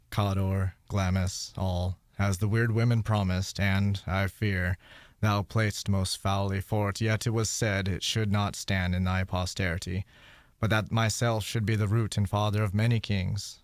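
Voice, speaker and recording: A young man playing a suspicious, brooding noble warrior in a Shakespearean radio play, speaking verse deliberately. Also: on the low side, fairly even intonation, no echo, natural voice. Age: young adult. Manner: slow, deliberate verse delivery.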